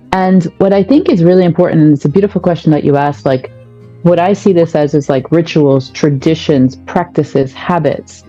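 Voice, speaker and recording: A woman's voice: low voice, dark tone, dull audio. A woman, a podcast guest, explaining family life in a reflective, thoughtful way. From United States. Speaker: guest, parenting coach. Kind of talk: podcast conversation.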